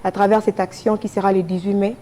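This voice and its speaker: A Congolese woman speaks as a guest in a TV studio. Her voice is dark and relaxed at a medium pitch. She makes an earnest appeal, restating her phrases as she goes. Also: fast. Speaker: charity association representative, TV guest.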